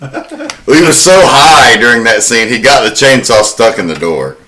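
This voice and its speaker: A man's voice: medium pitch, lively intonation, moderate pace, sharp tone. A man hosting a casual film discussion podcast, talking informally and with enthusiasm, swearing now and then. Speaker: podcast host.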